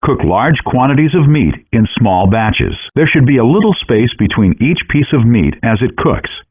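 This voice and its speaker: A chef guide in a cooking game, his voice lively and rising and falling freely. It has a husky edge and comes through as dull, lo-fi game audio. He lays out practical kitchen advice step by step, like a scripted instructor. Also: medium pitch, normal speed. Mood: instructive, matter-of-fact.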